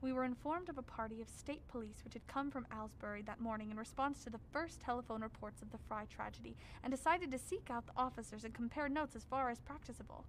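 A grave scholar, played by a woman, recounts horrors in a radio drama. The voice sits fairly high, formal and measured with an ominous weight, over faint background noise. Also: close to an everyday voice, low-quality recording. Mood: ominous dread. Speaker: actor narrating. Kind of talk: radio drama narration as a written record.

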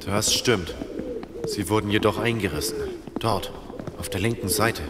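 A steady, observant survivor, played by a man in an audio drama. His lowish voice echoes in a large space under loud ambient noise. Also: a little slow, close to an everyday voice. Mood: resolute. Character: steady, observant male survivor taking the lead.

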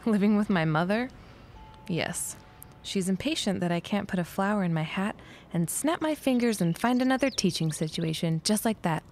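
A woman's voice: medium pitch, moderate pace, darkish tone, faint music behind, natural voice. A woman playing a dry, sensible young schoolteacher and artist in a period audio drama, wry and matter-of-fact. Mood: dry, sociable.

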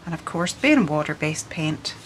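A woman's voice: low voice, expressive, normal speed, a little hiss or hum. A middle-aged woman from Scotland who speaks with a Northern Irish accent, an artist patiently explaining a craft workshop step by step. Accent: Northern Irish with faint Scottish traces. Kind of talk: instructional craft tutorial. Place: indoor room with storage shelves.

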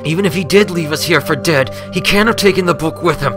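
A man voicing a brash, determined young female pegasus pony in a storybook reading, loud and urgent. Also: fairly high pitch, quick pace, music in the background, voice pushed up, natural voice. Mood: stubborn, straining.

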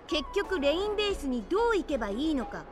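A woman playing a bossy, shrewd, excitable young adventurer in an animated game cutscene. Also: sits high, fast talker. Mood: firm, demanding. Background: music.

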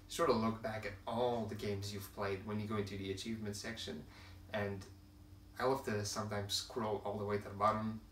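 A young man with a Quebec French accent speaks casually to camera in English, his second language, stopping often to hunt for words. His voice sits a little low. The sound is roomy and distant, with a faint hiss behind it. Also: a bit bright. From Quebec, Canada. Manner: hesitant, many fillers and restarts, searching for words. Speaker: channel host. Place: room at home with shelves of films and games.

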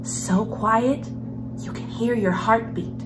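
A young woman hosting a children's story time, reading a picture book aloud in an expressive storyteller's manner. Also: fairly high pitch, slow pace, noisy background. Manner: expressive, animated storyteller, with hushed passages. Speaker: host of a children's read-aloud series. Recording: music behind the voice. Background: background music.

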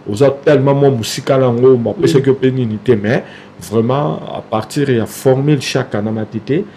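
Man, Congolese Kinshasa accent, forties, gravelly, mellow, halting speech, studio desk mic, faint hum. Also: mid-range voice. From Democratic Republic of the Congo. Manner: halting, many fillers and restarts. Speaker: singer guest. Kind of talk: casual interview talk mixing Lingala and French. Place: small TV studio, indoors. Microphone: desk mic on stand, close.

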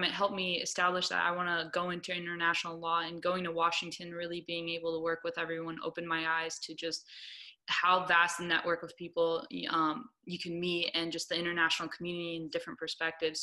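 A young American woman introduces herself on a video call. Her voice is slightly low and bright, with a fairly flat pitch. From born in Ukraine, grew up in USA. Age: 20s. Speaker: university student. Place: living room at home. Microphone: laptop on video call.

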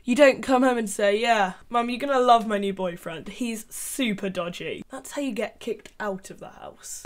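A young woman speaking into a desk microphone in a small bedroom. Her commentary is dry, deadpan and sarcastic, with a voice sitting slightly high. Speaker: YouTube commentator. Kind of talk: sarcastic commentary on a reality show. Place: small bedroom with colored lights. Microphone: large desk microphone.

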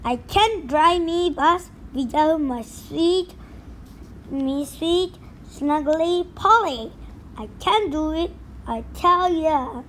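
A woman's voice: high voice, expressive, takes it slowly, fairly bright tone, a little hiss or hum, full character voice. A woman voicing a pirate captain turned bus driver, boastful but easily scared, in a storybook read-aloud full of hearty pirate slang and growled arrs. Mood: panicky.

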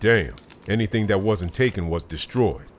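A man voicing a gruff, blunt, irritable survivor and father in a comic dub. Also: lowish voice, normal speed, a little hiss or hum, lightly acted. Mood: weary, uneasy. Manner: blunt, profane. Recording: loud music bed under the voice.

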